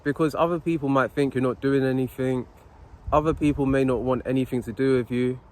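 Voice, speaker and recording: A man's voice: mid-range voice, fairly even intonation, brisk, darkish tone. A young man talking to his phone camera on a city street, giving unscripted self-help advice in an earnest, repetitive, preachy way. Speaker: motivational vlogger.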